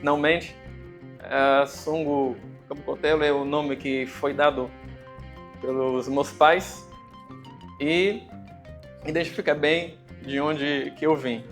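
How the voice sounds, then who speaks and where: An Angolan man with a slightly raised voice, his accent mixed with a Brazilian lilt. He gives a conference talk, pausing often and filling gaps with hesitant sounds, while a guitar plays faintly behind him. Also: a little high, fairly even intonation. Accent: Angolan Portuguese with some Brazilian. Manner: hesitant, many fillers and pauses. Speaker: conference speaker. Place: conference venue.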